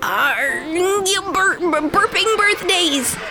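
A woman's voice: very high-pitched, lively intonation, slow, over music, pitched above the performer's own voice, full character voice. A woman voicing a nervous, stammering boy pirate in a children's story, earnest about seeming fierce. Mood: flustered. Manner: stammering, faltering over words.

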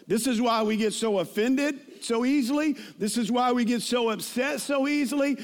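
A man's voice: very high-pitched, normal speed. A man in his fifties preaching a conversational, anecdotal sermon, speaking informally and repeating phrases for emphasis. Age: 50s.

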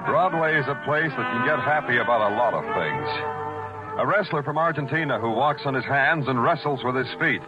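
A muffled vintage radio recording with prominent music behind a man playing a hardboiled detective. He is world-weary and sardonic, with a medium-pitched voice and a deadpan, brooding delivery. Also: fairly quick, lightly acted. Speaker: lead actor and narrator. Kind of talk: 1940s hardboiled radio narration and acting.

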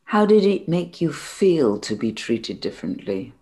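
A woman's voice: low-pitched, big pitch swings, measured pace, dark tone. A woman interviewer speaking in a conversational way with her guest.

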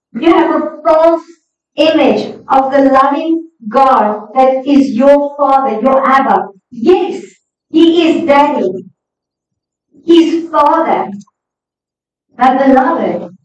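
A woman preacher delivering an emphatic, exhorting sermon to a congregation. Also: high voice. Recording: echoey hall, distant, slightly dull sound.

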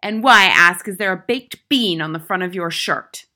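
A woman's voice: fairly low pitch, normal speed, sharp tone. A woman reading a storybook, voicing a gigantic tyrannical headmistress who barks contemptuous insults. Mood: furious scorn. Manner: barking, bellowing insults.

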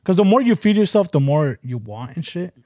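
A man's voice: a little high, big pitch swings, brisk, a little mellow, no echo, studio quality. A man co-hosting a podcast, joking, laughing and rambling loosely in casual chat. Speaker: podcast co-host.

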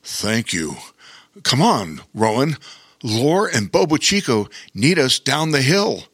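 Guy doing a calm bear king for a kids story, unhurried, patient, kindly. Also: medium pitch, breathy edge, dry recording, studio quality, exaggerated voice.

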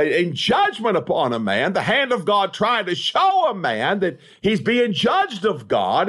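A man's voice: sits high, fairly bright tone, husky. A man preaching an expository sermon as an evangelist, emphatic, with repeated rhetorical phrases. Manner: emphatic, repetitive rhetorical cadence. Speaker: evangelist preacher, podcast host.

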